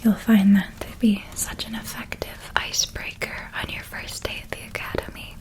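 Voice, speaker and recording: Woman acting a softly whispering, doting witch. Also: mid-range voice, little pitch movement, close to an everyday voice, rough recording. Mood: warmly excited. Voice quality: soft, breathy whisper. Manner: slow, tender, affectionate, lingering on words. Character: gentle, doting older witch woman helping with school shopping. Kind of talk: whispered ASMR roleplay.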